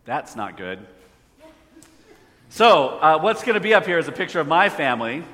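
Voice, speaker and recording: A man's voice: fairly high pitch, big pitch swings, moderate pace, pushed and bright. A man preaching a conversational sermon, asking the audience questions. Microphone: phone hotspot for slides. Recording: echoey hall reverb.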